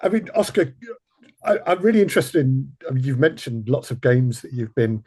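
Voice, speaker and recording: A man hosting a casual podcast interview, putting his questions in a hesitant, halting way. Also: medium pitch, big pitch swings, a little mellow.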